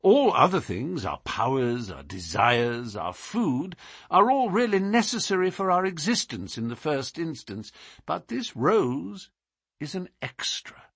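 Man playing a declamatory gentleman detective, very animated. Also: a little high, unhurried, husky, dry recording, clean studio sound, voice pushed up, exaggerated voice. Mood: musing, rapt. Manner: declamatory, philosophical, precise. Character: brilliant, musing, self-assured gentleman detective.

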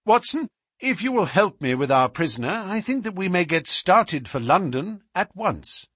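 A man voicing an urbane, wry English gentleman detective in an audiobook, courteous, precise and self-assured. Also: high-pitched, very animated, normal speed, slightly rough, no echo, clean studio sound, voice pushed up, full character voice. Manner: courteous, ironic, precise, unruffled.

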